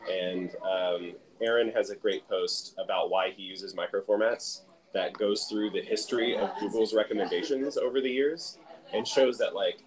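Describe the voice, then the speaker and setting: Man, casual chat, low voice, quick asides, laughs often. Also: poor audio. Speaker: session host running a live stream. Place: conference room at a tech camp.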